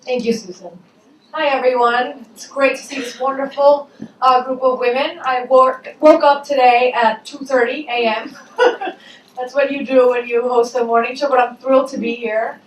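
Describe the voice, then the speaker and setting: A young woman moderates a live panel in a fairly high voice and talks in a chatty way. She laughs easily and fills her pauses, and the room rings with echo while she stays some distance from the mic. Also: steady tone. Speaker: panel moderator, morning show host. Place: event hall. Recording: echoey hall, far from mic, faint noise.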